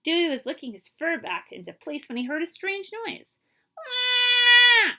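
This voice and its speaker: A woman reading a children's picture book aloud as a librarian, with expressive, emphatic delivery and dramatic pauses. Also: high voice.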